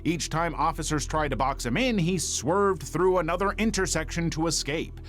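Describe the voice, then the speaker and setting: A man reading scripted true-crime narration in a measured, dramatic storytelling manner. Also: a little high, a bit bright, soft background music.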